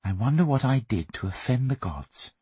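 A man's voice: mid-range voice, sing-song, normal speed, dark tone, breathy edge, no echo, voice pushed up. A man voicing a long-suffering, wryly exasperated mother in a storybook, speaking in a weary murmur.

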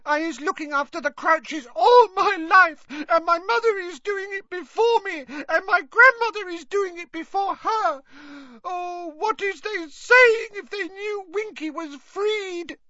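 A man's voice: animated, a little slow, pushed and bright, lo-fi sound, heavily acted. A man doing Winky, a wailing tearful elf. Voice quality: squeaky, wailing. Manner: broken grammar, sobbing outbursts. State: crying. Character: tearful old-fashioned female house-elf servant, shrill and devoted.

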